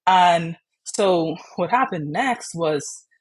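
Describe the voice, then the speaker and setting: A woman's voice: fairly low pitch, lively intonation, drawn-out words, slightly rough, poor audio. A young woman from the Bahamas, candidly telling her own patient story in a chatty way. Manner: chatty, frequent "like" and "you know" fillers.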